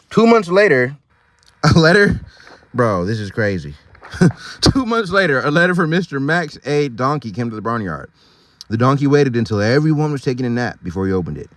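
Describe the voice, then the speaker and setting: A man with a mid-pitched voice reads a storybook aloud in a very animated way. He moves between narration and loose, slangy asides, easily sidetracked. The recording is dry. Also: moderate pace, no echo. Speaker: storybook reader.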